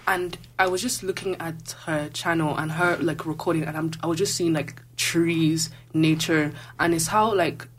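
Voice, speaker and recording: A woman's voice: sits low, fairly even intonation, moderate pace, hardly any pauses. A young woman from Nigeria, a student guest on a campus radio show, chatting casually and rambling with many fillers. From Nigeria (Lagos). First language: Igbo. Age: young adult. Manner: rambling, filler-heavy with lots of "like". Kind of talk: casual chat.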